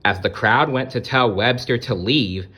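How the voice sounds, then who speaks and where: A man reading a children's story aloud in a gentle, expressive way, speaking directly to young listeners. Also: mid-range voice, moderate pace. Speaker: storybook reader. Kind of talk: animated children's read-aloud.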